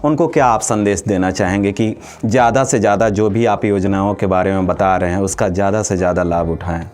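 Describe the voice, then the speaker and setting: A man with a fairly low voice works as a radio interviewer. He puts his questions respectfully and formally addresses the guest. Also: steady tone, brisk, darkish tone.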